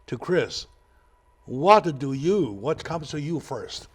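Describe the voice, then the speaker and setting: A man hosting a talk show interview, speaking with halting, hesitant phrasing. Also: on the high side, big pitch swings, slightly rough.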